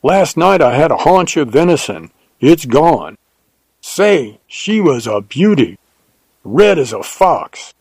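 A man voicing a plainspoken, excitable Texan cowboy with a leisurely drawl in an audiobook. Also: medium pitch, takes it slowly, dry recording. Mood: excited. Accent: Texas. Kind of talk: audiobook character dialogue.

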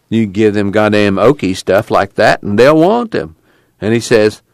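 A man's voice: medium pitch, no room sound, clean studio sound, voice pushed up, close to an everyday voice. A man voicing a tubby, outspoken migrant worker in a storybook, blustery and salty with folksy rural speech.

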